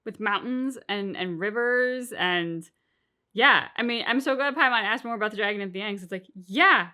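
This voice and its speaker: A woman's voice: mid-range voice, normal speed, bright, forceful tone. A woman co-hosting a reaction show in casual, conversational chat. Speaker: reaction channel co-host.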